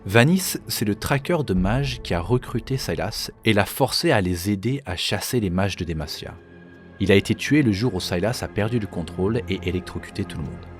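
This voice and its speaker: A man's voice: fairly low pitch, expressive, moderate pace, soft background music. A man giving explanatory commentary as a video game lore creator.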